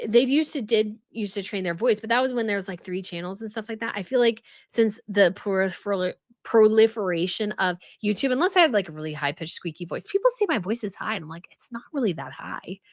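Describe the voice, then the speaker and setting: A woman's voice: medium pitch, fairly bright tone, no echo, clean studio sound. A young woman hosting a casual live stream, chatting and answering viewer comments with many fillers and restarts. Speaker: host of a royal news live stream.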